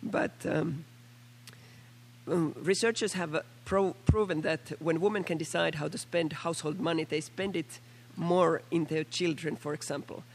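A Finnish-accented woman, hoarse from a flu, giving a halting formal statement as a member of parliament at a panel meeting. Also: low voice, steady tone. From Finland. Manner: halting, with many fillers and restarts.

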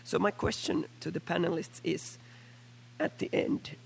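A Finnish-accented woman, hoarse from a flu, giving a halting formal statement as a member of parliament at a panel meeting. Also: on the low side, lively intonation. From Finland. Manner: halting, with many fillers and restarts.

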